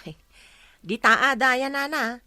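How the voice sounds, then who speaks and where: A woman's voice: high-pitched, slow pace, bright, forceful tone, clear, resonant tone, no echo, exaggerated voice. A woman with an Ilocano accent playing a chatty, loyal village neighbour in a radio comedy drama. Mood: chatty, defensive.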